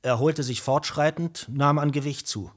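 An actor playing a clinical, detached doctor reading terse patient notes in a radio play, with a husky voice. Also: male voice, on the high side, steady tone, normal speed, natural voice.

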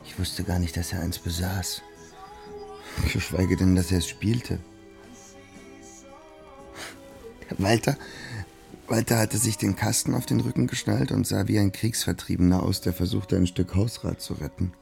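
An actor playing a pensive, earnest young man in a radio drama, speaking in an introspective, halting way. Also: low voice, little pitch movement, gravelly, darkish tone, faint music behind. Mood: troubled, pensive.

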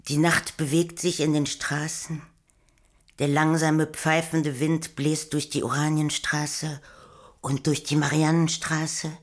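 A woman playing a hushed, dreamy storyteller in a poetic radio play, slipping now and then toward a whisper. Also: low-pitched, level tone. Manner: slow, hushed, dropping into whispers. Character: hushed, dreamy female storyteller of a sleeping city quarter. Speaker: narrator.

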